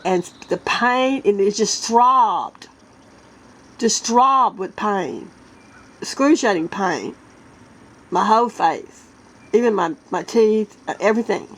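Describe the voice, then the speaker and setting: A woman vlogger talking casually to her viewers, her nose congested from a sinus illness. She speaks earnestly and haltingly, clearing her throat and coughing often. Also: mid-range voice, low-quality recording. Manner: earnest, halting, with many restarts.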